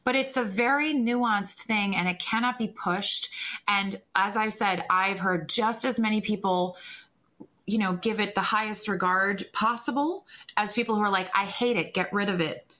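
Woman guest, singing teacher, bright and forceful tone, emphatic and opinionated. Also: medium pitch, normal speed. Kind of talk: conversational interview.

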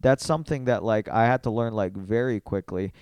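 A man's voice: fairly low pitch, brisk, a little mellow, smooth, dry recording. A man chatting casually as a podcast guest, blunt and slangy, swearing freely.

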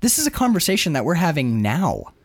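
Animated man, higher-pitched, talking comics. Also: brisk, husky, dry recording, clean studio sound. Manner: emphatic, opinionated, profane. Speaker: co-host of a comic book discussion show. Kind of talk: animated casual discussion.

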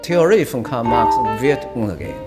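A man from China with a Chinese accent, a native Chinese speaker giving halting interview answers in non-native phrasing. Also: mid-range voice, very animated, loud music behind the voice.

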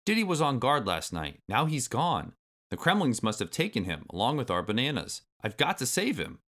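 Storybook hero: man as earnest young gorilla, urgent delivery, bright voice with lively pitch. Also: normal speed. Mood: impatient. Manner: urgent, exclamatory.

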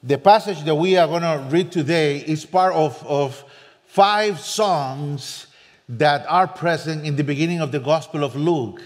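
A man from Costa Rica with a Costa Rican Spanish accent, a native Spanish speaker, preaching as a guest with earnest, self-deprecating warmth and frequent restarts. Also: on the high side, measured pace. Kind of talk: sermon. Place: church sanctuary. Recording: long church reverb.

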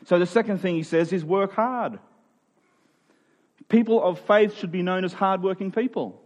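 A man's voice: high voice, moderate pace, a little mellow, muffled recording. A man preaching in a conversational, expository way, informal and emphatic, often checking in with his listeners.

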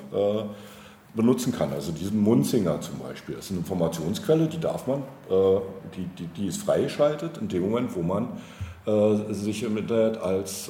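Low-voiced, halting man in a roomy recording. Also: warm tone. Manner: hesitant, deliberate, halting phrasing. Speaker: political candidate being interviewed. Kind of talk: reflective interview answers on policy. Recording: roomy, noticeable background noise.

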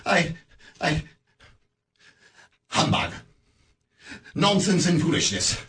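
A man plays a bitter old miser in a radio play, stammering and halting as he goes. His voice sits fairly high and swoops up and down, and he takes it slowly. It sounds a little distant, with a faint hiss. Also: sing-song, fairly bright tone, exaggerated voice. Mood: grumbling.

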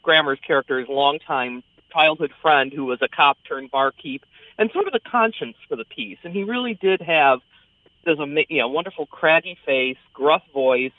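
An American theater critic talking conversationally in a radio discussion. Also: male voice, on the high side, normal speed, dry recording. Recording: dry, narrow phone-like line.

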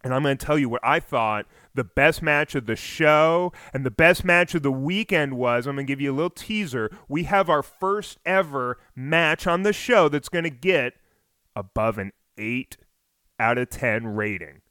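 A man hosting a wrestling podcast, telling a story in a casual, energetic way, with self-deprecating asides and near shouts when he gets excited. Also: mid-range voice, moderate pace, no echo, studio quality. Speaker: podcast host.

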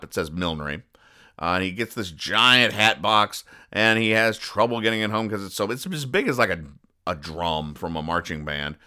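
Male comedy podcast host, bright low voice, dry exasperation, rambling explanations. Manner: deadpan, mock-exasperated. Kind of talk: comic commentary reading newspaper comics aloud.

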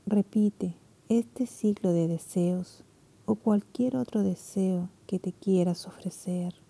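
A woman leading a guided meditation, speaking softly and soothingly, her phrases often trailing into whispers. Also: mid-range voice, slow, creaky, breathy edge.

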